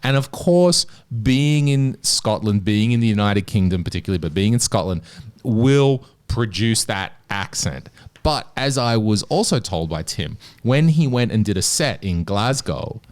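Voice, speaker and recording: Man with very animated, swooping delivery. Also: lowish voice, measured pace, hardly any pauses. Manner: swearing, joking, teasing. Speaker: podcast host. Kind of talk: casual comedy banter.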